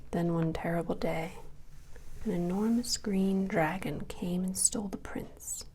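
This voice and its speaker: Woman, ASMR storyteller, soft breathy whisper, low mellow tone, faint background noise. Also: steady tone, unhurried, poor audio.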